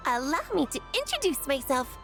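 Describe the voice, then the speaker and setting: A woman's voice: high-pitched, big pitch swings, fairly quick, fairly bright tone, faint music behind, exaggerated voice. A woman voicing a small, mischievous, boastful furry mascot creature in a video game, cutesy and childlike. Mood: proud.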